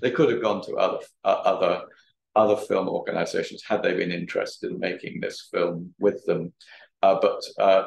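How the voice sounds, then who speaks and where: Man, low gravelly voice, academic lecturer, halting with repeated words, roomy echo. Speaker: guest lecturer. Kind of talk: academic lecture question and answer. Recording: roomy, echoey sound.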